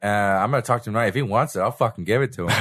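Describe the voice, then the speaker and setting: A man's voice: lowish voice, very animated, fast talker, a bit bright, no echo. A man hosting a casual podcast, joking and laughing often, his voice congested from a bad cold, speaking close to the mic. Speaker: podcast host. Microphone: close studio mic.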